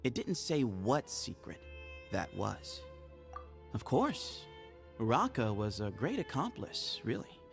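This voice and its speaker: A man's voice: mid-range voice, huge pitch swings, slow pace, vocal fry, soft background music, heavily acted. An actor playing a breezy, evasive young desert man in a video game, with a playful, casual manner. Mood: playful, sly.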